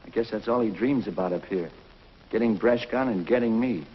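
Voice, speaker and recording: An actor playing a steady, confident male space hero in a 1950s TV drama, on a dull, roomy lo-fi soundtrack. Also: on the low side, brisk, natural voice. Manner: steady, matter-of-fact.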